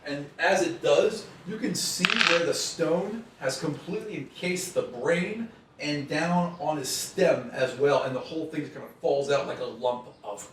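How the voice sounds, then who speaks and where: A man's voice: on the high side, a little slow, far from the mic. A man acting as game master, narrating a tabletop game casually and encouragingly among friends. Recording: roomy, off-mic, faint noise.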